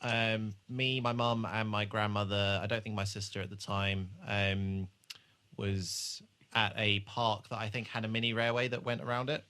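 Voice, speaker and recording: A man's voice: on the low side, little pitch movement, moderate pace, sharp tone. A man co-hosting a podcast, chatting casually and informally about a TV show, with some swearing. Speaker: podcast host. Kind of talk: casual chat reviewing a TV episode.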